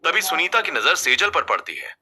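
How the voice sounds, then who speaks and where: A man's voice: on the high side, big pitch swings, a little fast. A man reading a scripted family story as its narrator. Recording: music bed under the voice. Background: loud background music.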